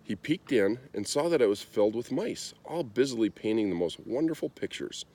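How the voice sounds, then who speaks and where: Guy reading a kids book out loud, low voice, super animated. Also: a little fast. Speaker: storybook reader. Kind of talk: expressive children's story read-aloud.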